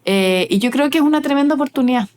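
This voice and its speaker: A woman's voice: sits high, expressive, fast, a little mellow. A woman researcher, speaking as a guest in a casual interview, thoughtful and hesitant with many fillers and restarts.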